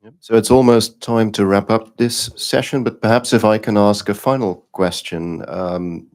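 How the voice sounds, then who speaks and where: A man's voice: fairly low pitch, steady tone, normal speed, darkish tone. A man moderating an academic conference panel, asking questions with frequent hesitant fillers and restarts.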